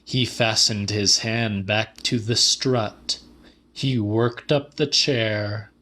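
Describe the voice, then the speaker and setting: A man narrating literary fiction in a deliberate, slightly dramatic audiobook style. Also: lowish voice, fairly even intonation, bright, forceful tone.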